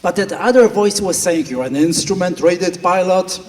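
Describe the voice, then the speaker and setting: Middle-aged polish guy telling a flying story at a banquet, fairly high voice, heard from way off the mic with a long hall echo. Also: normal speed, darkish tone. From Poland. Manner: earnest anecdotal telling with quoted inner voices. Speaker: flight instructor giving a speech. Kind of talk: storytelling speech at a gala. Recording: distant speaker in a reverberant hall.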